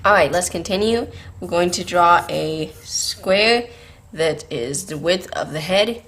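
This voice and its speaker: A woman's voice: on the low side, takes it slowly, fairly bright tone. A woman hosting a drawing tutorial, explaining patiently step by step, her voice tired and scratchy from a late night. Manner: patient, beginner-friendly explaining.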